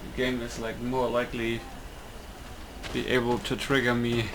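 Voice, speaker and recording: A man's voice: medium pitch, completely flat delivery, normal speed. A man giving casual let's-play commentary on a video game in an offhand, rambling way. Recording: clear background noise and game ambience.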